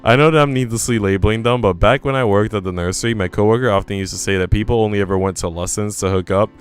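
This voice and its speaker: A man's voice: lowish voice, fast talker. A man reading visual novel narration aloud in a live, casual way, now and then restarting a line.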